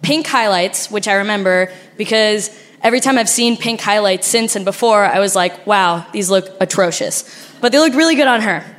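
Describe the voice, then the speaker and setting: A woman's voice: mid-range voice, moderate pace. A young woman from Argentina with an Argentinian accent, telling a frank, laughing story on a live stage. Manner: animated, laughing, frank and profane. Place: stage before a live audience.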